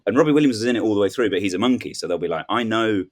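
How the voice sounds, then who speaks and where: A man's voice: lowish voice, animated, rapid-fire, slight background noise. A man chatting casually as a guest on a comedy podcast, telling whimsical, rambling anecdotes and acting out little quotes. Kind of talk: casual comic chat.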